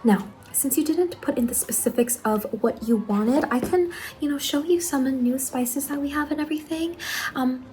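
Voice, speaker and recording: A woman playing an eager, apologetic young saleswoman in an ASMR roleplay, speaking softly, politely and a little hesitantly. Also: high voice, moderate pace, background noise, natural voice. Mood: gently recommending. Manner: soft-spoken, hesitant, polite customer-service talk. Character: Angeline, eager apologetic young saleswoman at macabre pumpkin stall.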